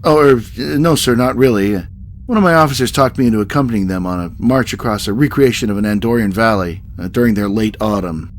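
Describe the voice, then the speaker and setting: An actor playing a hesitant, dryly polite starship captain in a science fiction audio drama, stammering slightly, with noise behind him. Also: male voice, lowish voice, quick pace, natural voice. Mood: deferential, puzzled.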